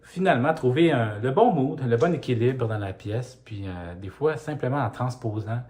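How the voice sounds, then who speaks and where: A man with a Québécois French accent, a pianist-composer talking casually to the camera at home, thoughtful and pausing often to find his words. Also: mid-range voice, animated, darkish tone, breathy edge. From Quebec. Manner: thoughtful, searching for words with frequent pauses. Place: indoors at home.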